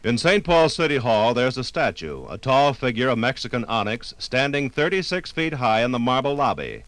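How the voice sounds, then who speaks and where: A man reading scripted 1950s radio documentary narration in a formal, measured manner. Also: medium pitch, sing-song, fairly bright tone, faint music behind.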